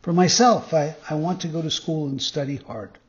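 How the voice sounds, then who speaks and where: A man's voice: a little high, moderate pace, muffled recording, natural voice. A man voicing an earnest, naive young boy marionette in a storybook reading. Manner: eager, indignant, innocent.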